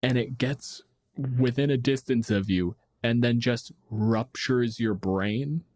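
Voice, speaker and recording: A man's voice: medium pitch, slow, darkish tone, no echo, clean studio sound. A man in his thirties chatting casually as a podcast host, explaining things in a wry, emphatic, sweary way.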